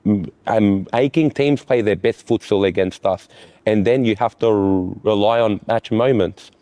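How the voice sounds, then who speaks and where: A man, a futsal goalkeeper, answers interview questions in a low, mellow voice. He keeps restarting his sentences and filling gaps with "like". The sound is close and dry. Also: no echo. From Australia. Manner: halting, restarts often and leans on "like". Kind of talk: post-season player interview.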